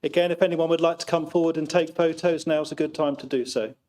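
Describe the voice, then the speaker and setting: A man's voice: fairly high pitch, steady tone, quick pace, a little mellow. A man conducting a council ceremony, making polite, formal procedural announcements. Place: council chamber.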